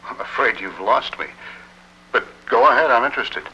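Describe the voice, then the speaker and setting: A man's voice: medium pitch, lively intonation, moderate pace, pushed and bright, slightly rough, exaggerated voice. A middle-aged man playing a curious, polite doctor in a scripted 1960s training film. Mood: politely interested. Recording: dull 1960s film soundtrack.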